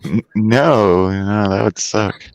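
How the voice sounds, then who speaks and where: A man co-hosting a podcast, chatting casually with dry deadpan jokes. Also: on the low side, slow pace, poor audio. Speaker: podcast co-host.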